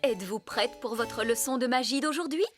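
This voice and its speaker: A woman's voice: fairly high pitch, moderate pace, faint music behind, lightly acted. A woman playing a prim, bossy nanny fairy in a cartoon, lecturing in a pompous, fussy manner. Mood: haughty. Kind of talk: French-language cartoon dubbing.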